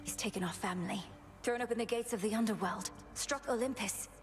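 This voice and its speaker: A woman playing an earnest, determined young underworld princess and witch in a game, speaking formally and with measured care. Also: mid-range voice, natural voice. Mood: resolute, bitter. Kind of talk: scripted game acting.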